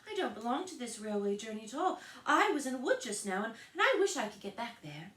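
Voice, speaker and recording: A woman's voice: a little high, very animated, fairly quick, a bit bright, echoey room, voice pushed up. A woman voicing a polite, curious young girl who speaks lightly and a little anxiously. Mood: earnest. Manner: light, earnest, slightly anxious.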